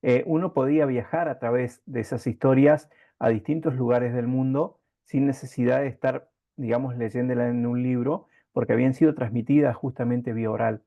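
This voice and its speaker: A man interviewing in a conversational way, speaking haltingly and reflectively in circling phrases. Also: mid-range voice, fairly even intonation, gravelly, dull audio.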